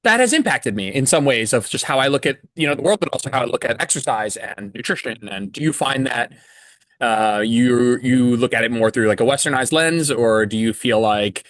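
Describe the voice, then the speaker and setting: A man's voice: mid-range voice, lively intonation, a little fast, a bit bright, no echo. A man hosting a podcast, asking long, loosely strung questions with restarts. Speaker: podcast host. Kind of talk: casual interview chat.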